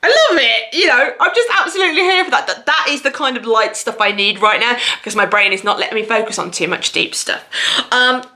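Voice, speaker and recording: A woman book vlogger chatting casually to viewers in an effusive, expressive way. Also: a little high, fairly quick. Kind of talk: casual chatty video monologue about books.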